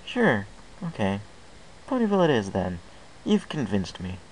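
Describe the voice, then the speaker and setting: A man's voice: lowish voice, wildly swooping pitch, normal speed, rough recording. A man voicing a restless, self-doubting young male pony in an amateur story reading. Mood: resigned. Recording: hissy amateur home recording.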